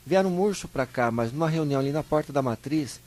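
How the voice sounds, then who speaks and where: Homem do interior paulista contando histórias. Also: male voice, a little high, fast talker, faint music behind. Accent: Brazilian Portuguese, São Paulo interior. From Brazil. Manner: animated storyteller, acts out short dialogues, colloquial. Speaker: interview guest, local historian. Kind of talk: live interview, storytelling.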